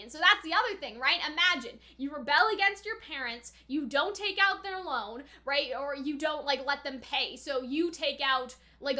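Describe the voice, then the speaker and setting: A woman with a high, bright voice hosts a casual reaction video. She talks informally, working through her thoughts out loud as she comments. The delivery is chatty and conversational throughout. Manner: chatty, informal, thinking aloud. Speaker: anime reaction video host.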